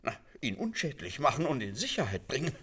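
A man's voice: medium pitch, very animated, brisk, a bit bright. An actor playing a huffy, readily indignant bourgeois man in a German radio play.

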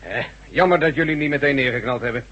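An actor in a Dutch radio drama playing an authoritative, grim police lieutenant with a husky voice and emphatic delivery, on a dull old recording. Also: male voice, mid-range voice, fast, a bit bright.

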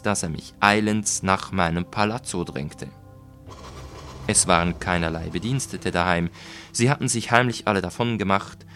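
A man reading a literary first-person story in a measured, deliberate way with dramatic emphasis. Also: low voice, a bit bright. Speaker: audiobook reader.

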